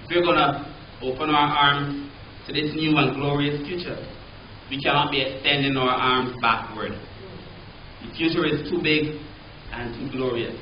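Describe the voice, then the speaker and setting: A man's voice: fairly high pitch, fairly even intonation, moderate pace, pauses often, slight background noise. A middle-aged man with a Barbadian accent giving a public lecture, emphatic and rhetorical, stressing key words. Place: lecture hall with audience. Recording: roomy, slightly dull hall recording.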